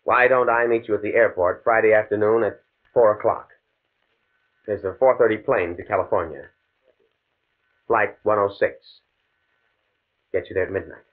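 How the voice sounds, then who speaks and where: Actor as a grave low-voiced professor, old radio. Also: male voice, moderate pace, long pauses, close to an everyday voice. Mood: controlled, businesslike. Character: desperate, determined male professor, coolly fatalistic scientist. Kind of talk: 1950s radio drama acting. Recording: muffled, band-limited old radio recording.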